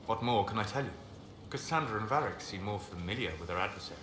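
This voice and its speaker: An actor playing a calm, reserved elven mage advisor in a video game, speaking in measured, formal phrases. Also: male voice, fairly low pitch, lightly acted. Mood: measured, courteous. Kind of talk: video game dialogue acting. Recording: roomy echo, clear background noise.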